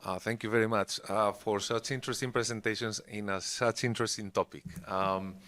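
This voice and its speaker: A man's voice: on the low side, steady tone, fairly quick, a bit bright. A man, a professor, politely putting a question to a conference panel. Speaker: professor asking from audience.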